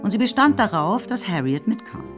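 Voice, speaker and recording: A woman's voice: mid-range voice, very animated, fairly quick. A woman narrating a literary radio play in a scripted storytelling style. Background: music.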